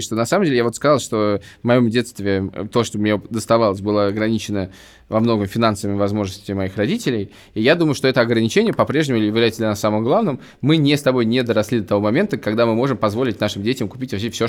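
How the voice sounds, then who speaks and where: A man in his thirties speaks as a podcast co-host at a very quick clip. His pitch sits in the middle for a man. He is casual but emphatic, often circling back to restate his point. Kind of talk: casual opinionated podcast chat.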